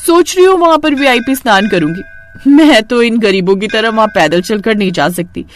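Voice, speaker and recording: A woman's voice: fairly high pitch, animated, normal speed, soft background music, lightly acted. A woman voicing a haughty, boastful rich married woman in a moral cartoon story, with a mocking, showy manner. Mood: boastful, scornful.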